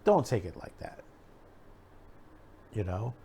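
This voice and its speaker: A man's voice: on the low side, big pitch swings, slow, warm tone, husky. A middle-aged American man giving unscripted opinion commentary as a show host, rambling and emphatic, often repeating himself. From United States.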